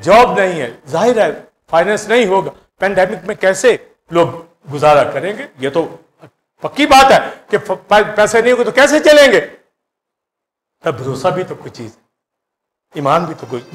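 A middle-aged man with a Pakistani Urdu accent preaching a sermon in a church hall on a handheld mic, swinging between loud bursts and hushed asides. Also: high-pitched. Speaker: pastor.

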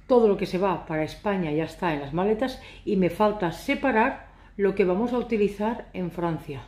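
A woman in her thirties, from Catalonia, chatting to camera in a casual, ironic, rambling way, a little hoarse. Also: mid-range voice, a little mellow, echoey room. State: hoarse from shouting. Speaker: vlogger. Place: living room sofa, indoors. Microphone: phone camera at arm's length.

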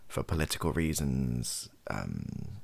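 British male, 30s, extremely low and croaky, soft ASMR delivery, loose self-deprecating ramble. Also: very animated, slow pace. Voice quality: soft, gravelly, husky. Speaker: video host, ASMR creator. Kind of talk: improvised softly spoken ASMR ramble. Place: small bedroom office, indoors.

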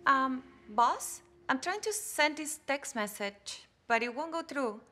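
An actress playing a struggling young news anchor, earnest and pleading. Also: female voice, sits high, animated, slow, pushed and bright, exaggerated voice. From Colombia. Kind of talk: scripted drama acting.

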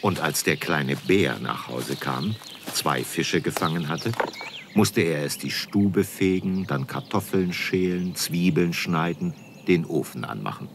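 A man with a gravelly voice narrating a scripted children's story. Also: low-pitched, noisy background.

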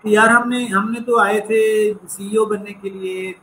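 Interview guest, male, slight American accent, earnest and reflective, higher voice, roomy recording. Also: level tone, takes it slowly, a bit bright, a little hiss or hum. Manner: earnest, reflective, sprinkles English phrases. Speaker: entrepreneurship professor, guest. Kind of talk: conversational interview storytelling.

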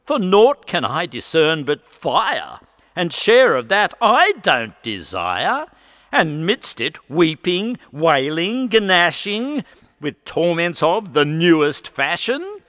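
A man's voice: fairly high pitch, big pitch swings, slow, a bit bright, full character voice. A man voicing a defiant convict poet who declaims earnestly and indignantly in a recited ballad. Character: Frank, defiant male convict poet, bold and plainspoken. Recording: long reverb hall.

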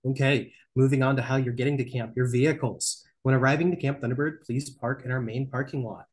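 A man's voice: medium pitch, fairly even intonation. A young man presenting camp rules from slides on a video call, reading points out with some fillers and restarts. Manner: reads slide points, spells out links slowly, some fillers. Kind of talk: slideshow briefing. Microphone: webcam on video call.

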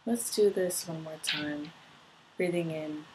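A woman with a slightly low voice guides a meditation. She gives gentle instructions one step at a time. Some faint ambient noise sits behind her voice. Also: slow. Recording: clear background noise, ambient sound.

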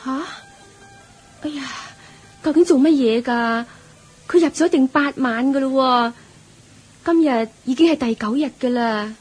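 An actress playing an excitable, naive laywoman devotee in a radio drama, fussy and full of exclamations. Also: sits high, a little slow, long pauses, breathy edge, soft background music. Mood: anxious. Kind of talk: Cantonese radio drama acting.

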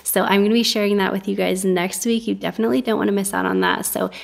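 Chatty upbeat woman vlogger, slight creak. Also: medium pitch, fairly even intonation, fast. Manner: enthusiastic, chatty, addresses viewers directly. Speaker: homemaking vlogger. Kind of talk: upbeat cleaning vlog talk.

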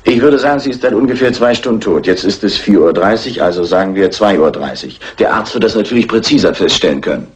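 A businesslike male police inspector acted by a man for a radio crime play. The voice sits fairly low, with a measured, official and courteous delivery that hints at weary patience. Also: lively intonation, lightly acted. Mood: polite, questioning. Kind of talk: German radio crime drama acting.

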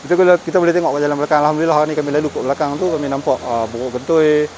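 A man's voice: on the high side, fairly even intonation, racing, background noise. A middle-aged man with a northern Malaysian Malay accent chatting casually through a face mask on a walking vlog outdoors. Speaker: vlogger. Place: outdoors on forest park trails by a cave. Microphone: camera at arm's length.

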